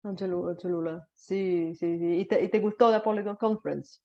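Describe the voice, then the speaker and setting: A woman interviewing casually in a second language, asking soft, tentative questions with many fillers. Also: fairly low pitch, steady tone, moderate pace. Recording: slightly dull lo-fi audio.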